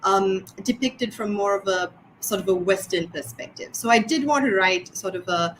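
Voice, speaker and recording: A woman's voice: mid-range voice, normal speed, fairly bright tone, a little hiss or hum, poor audio. Thoughtful Sri woman author. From Sri Lanka. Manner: thoughtful, many fillers and restarts. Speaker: author guest. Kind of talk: casual interview answers.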